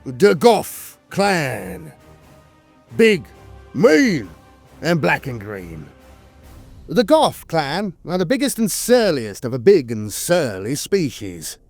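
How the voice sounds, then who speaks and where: A man's voice: fairly high pitch, wildly swooping pitch, slow, creaky, a little mellow, soft background music. A man reading scripted fantasy lore narration in a dramatic, emphatic storytelling manner.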